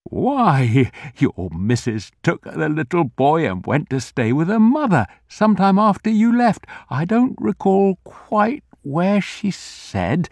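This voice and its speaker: A man's voice: on the high side, sing-song, slow pace, hardly any pauses, a little mellow, no echo, pitched above the performer's own voice, heavily acted. A man voicing an anxious, kindly old male corner grocer who fusses with old-fashioned politeness. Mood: fretful.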